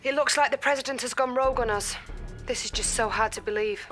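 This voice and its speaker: A woman playing a brisk female intel officer. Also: fairly high pitch, music in the background, natural voice. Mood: earnest. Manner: crisp, earnest briefing.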